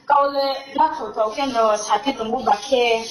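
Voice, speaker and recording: A woman's voice: a little high, steady tone, drawn-out words. A woman talking informally in a recorded phone conversation. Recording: echoey recorded phone call.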